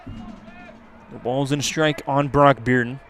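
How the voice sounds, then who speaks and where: A man's voice: medium pitch, slow pace, a bit bright. A man calling a college baseball game live as a play-by-play radio announcer.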